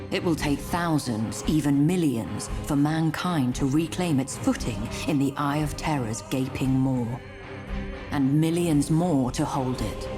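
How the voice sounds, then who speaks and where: A woman playing a grave, portentous narrator of a grim war epic in a video game cutscene, speaking in measured lines. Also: deep voice. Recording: music behind.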